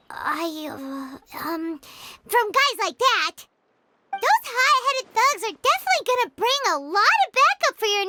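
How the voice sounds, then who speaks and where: Very high, squeaky little fairy companion. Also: female voice, bright, forceful tone, a little hiss or hum, heavily acted. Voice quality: squeaky, childlike. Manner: bubbly, exclamatory, eager. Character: tiny excitable childlike fairy companion, chatty and plucky. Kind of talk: scripted video game cutscene acting. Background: background music.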